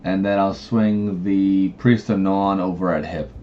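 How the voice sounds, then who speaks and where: A man with a Boston accent playing a card game, laid-back and counting his cards out loud. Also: low voice, fairly even intonation, measured pace, slight background noise. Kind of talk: casual game-table chat. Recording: dull lo-fi audio.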